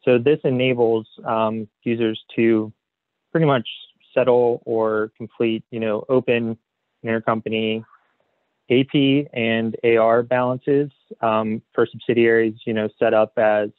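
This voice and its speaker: A man presenting a software webinar as a consultant, explaining slides in a hesitant way padded with "you know". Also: mid-range voice, flat delivery, unhurried. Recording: phone-like narrow band, roomy echo.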